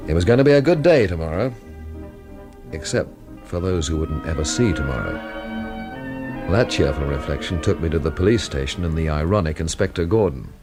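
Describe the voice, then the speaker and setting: Low, dry, sardonic male investigator. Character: wry male investigator from London, cynical and stubborn. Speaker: lead actor and first-person narrator. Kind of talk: radio drama narration and dialogue.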